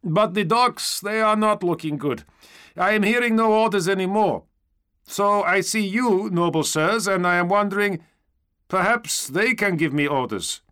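A man's voice: sits high, unhurried, sharp tone, clear, resonant tone, voice pushed up. A man playing a cheerful, chatty foreign soldier in an audiobook, polite and eager, with non-native phrasing. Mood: polite, upbeat. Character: cheerful, chatty, optimistic young male foreign stormtrooper.

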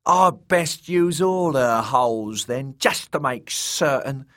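A man voicing a crowing, bawdy, cruel mad jester with a shrill voice and a gleeful, taunting manner. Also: animated, slow, fairly bright tone. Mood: lewd boasting. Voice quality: shrill, braying.

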